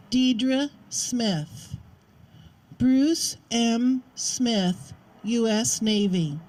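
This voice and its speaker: A woman reading out a list of names in a solemn, formal ceremonial style, measured and with pauses between each. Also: a little high, darkish tone, low-quality recording. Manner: measured, one name at a time with pauses. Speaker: name reader at a memorial ceremony.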